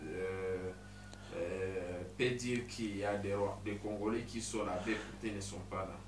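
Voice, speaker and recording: A man's voice: sits low, fairly even intonation, a little slow. A male interviewer asking probing, pointed follow-up questions in a political studio interview. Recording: roomy, distant mic with faint hum.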